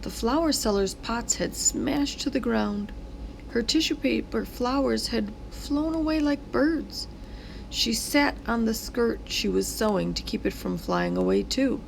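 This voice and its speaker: A woman reading a children's story aloud in an expressive, dramatic way, drawing words out. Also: fairly high pitch, creaky, a little hiss or hum, rough recording. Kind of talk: expressive read-aloud narration.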